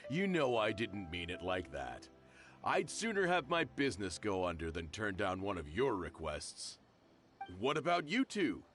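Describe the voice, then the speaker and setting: A man's voice: lowish voice, huge pitch swings, measured pace, fairly bright tone, exaggerated voice. A man playing a genial, self-deprecating middle-aged shopkeeper and father in a video game. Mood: earnest, friendly. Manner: friendly, familiar, insistent. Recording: music under the voice.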